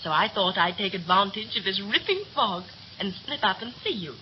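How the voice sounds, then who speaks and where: An actress playing a clinging, coaxing young sweetheart in a theatrical 1930s radio melodrama. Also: female voice, mid-range voice, lively intonation, a little fast, lightly acted. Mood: coaxing, fond. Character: clinging, pleading young sweetheart of a ship's officer. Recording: muffled, noisy 1930s radio recording.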